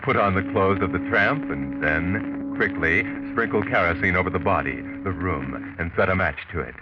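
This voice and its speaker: Man doing sinister old radio mystery narrator, really deep, deliberate and mocking, music underneath. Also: lightly acted. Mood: ominous, taunting. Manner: deliberate, taunting, ominous. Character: sinister, sardonic narrator, a taunting voice of fate. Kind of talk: 1940s radio mystery narration, second person. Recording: muffled vintage radio recording.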